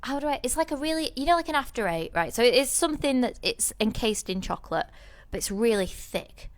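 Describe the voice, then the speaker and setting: A woman's voice: a little high, expressive, fairly quick. A woman co-hosting a podcast, telling a rambling story in casual chat, with many restarts. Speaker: podcast co-host.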